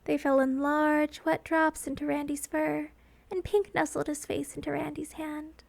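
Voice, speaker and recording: Very high-pitched woman narrator. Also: flat delivery, measured pace, creaky. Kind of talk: dramatic scripted narration of fan fiction.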